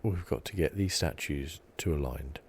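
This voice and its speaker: A man giving soft-spoken ASMR commentary as he plays a game, hushed, relaxed and meandering, close to the mic. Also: a bass voice, moderate pace, a little hiss or hum. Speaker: ASMR gaming host.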